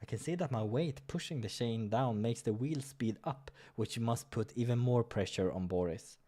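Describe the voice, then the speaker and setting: A man's voice: medium pitch, moderate pace, mellow. A man reading a visual novel aloud as a let's player, dramatic with casual asides and the odd stumble, over music. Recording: music behind the voice.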